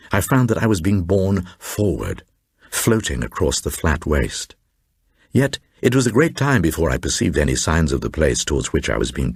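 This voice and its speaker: A man playing a haunted, awestruck recluse, narrating gothic horror in a solemn, measured way with suspenseful pauses in a radio drama. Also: low voice, slightly rough, no echo, clean studio sound, heavily acted. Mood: awed, solemn. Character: haunted male recluse recounting terrifying visions, grave and awestruck. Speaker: narrator reading a horror novel.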